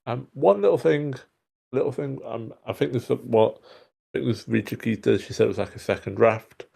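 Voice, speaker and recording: A man's voice: mid-range voice, moderate pace, creaky, warm tone, husky. A man giving casual, somewhat rambling and hesitant feedback on a script in an online meeting. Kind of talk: casual spoken critique. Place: indoors on an online meeting call.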